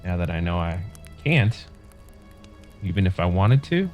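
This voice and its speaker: A man's voice: sits low, very animated, measured pace, a little mellow, dull audio. A man playing a young, regretful, down-on-his-luck survivor in a drama table read. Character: young, broke, regretful former pizza delivery boy. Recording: video-call read over music.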